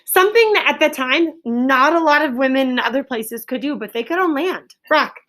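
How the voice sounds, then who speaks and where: Lady elementary teacher, pretty high voice, runs q&a with the class, repeats stuff back and keeps kids on task. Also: animated, normal speed, a bit bright. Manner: directive, repeats and confirms answers. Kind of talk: classroom question-and-answer lesson. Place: classroom with pupils.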